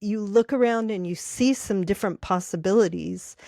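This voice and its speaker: A woman's voice: medium pitch, moderate pace, gravelly, mellow, no echo, studio quality. A woman hosting a podcast interview, encouraging her guest with affirming murmurs and open questions. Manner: encouraging, frequent affirming backchannels. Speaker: podcast host.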